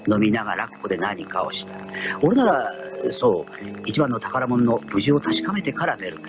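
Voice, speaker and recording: Male actor as proud thief, wry, theatrical radio drama storytelling, lively swooping pitch, lo-fi sound. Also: moderate pace, natural voice. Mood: shrewd, composed. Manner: theatrical, self-assured storytelling. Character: cocky gentleman thief, proud self-styled genius, wry. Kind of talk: first-person radio drama narration. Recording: dull lo-fi archive radio recording, loud music.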